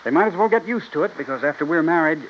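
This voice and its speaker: Actor as pushy young rancher, animated, muffled. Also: male voice, a little high, fast talker, close to an everyday voice. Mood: insistent, coaxing. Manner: insistent, persuasive. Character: pushy, smooth-talking young rancher fiancé. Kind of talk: 1950s radio drama acting. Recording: muffled, hissy old radio recording.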